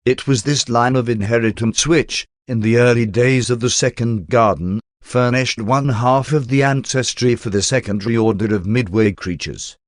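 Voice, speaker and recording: A man reading a religious text aloud as formal, measured audiobook-style narration. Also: medium pitch, dry recording, studio quality.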